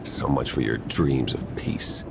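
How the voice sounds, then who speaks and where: An actor playing a bitter, coldly determined traitor in a cinematic video game, grim and measured. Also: male voice, extremely low pitch, fairly even intonation, vocal fry, noisy background, heavily acted. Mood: bitter conviction. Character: male traitor spy, bitter, coldly determined former ally.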